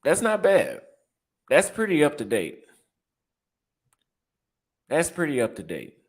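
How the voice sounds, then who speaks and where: A man's voice: a little high, huge pitch swings, takes it slowly. A young man with a Texas accent, chatting casually in a vlog and reacting aloud as he browses apartment listings, repeating his phrases. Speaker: vlogger and barber.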